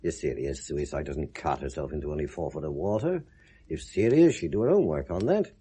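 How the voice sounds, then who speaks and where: An actor in a 1970s British radio drama playing a sceptical police inspector, blunt, wry and insistent, with a British accent. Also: male voice, rumbling low, expressive, fairly quick, dark tone, breathy edge, heavily acted. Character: sceptical, probing male police detective inspector.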